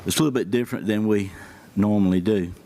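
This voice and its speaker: A man's voice: fairly low pitch, fast talker, dark tone. A man with a Southern accent giving plain-spoken remarks at a county meeting as an emergency services official. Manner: plain-spoken, many fillers. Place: county board meeting room.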